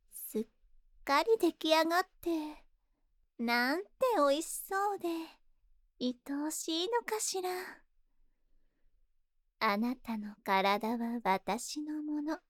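A woman's voice: high voice, no room sound, clean studio sound. A woman playing a seductive, possessive spider monster in a Japanese ASMR voice drama, tender and coaxing, lingering on her words. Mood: possessive, hungry. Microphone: close ASMR microphone in a dry studio.

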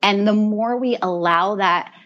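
A woman guest in a casual podcast conversation, earnest and animated, who often repeats words as she talks. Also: mid-range voice, a little slow, highs cut off. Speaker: guest, mentor to creative women.

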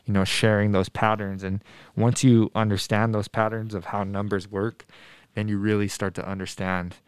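Earnest young man, smooth low voice. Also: little pitch movement, dry recording. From Denver, USA. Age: 20s. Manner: thoughtful, earnest, pauses with frequent ums and restarts. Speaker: middle school math teacher. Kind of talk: casual interview answers to students.

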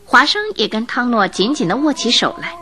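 A woman narrating a scripted radio drama in an expressive, dramatic storytelling manner. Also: fairly high pitch, a little fast, soft background music.

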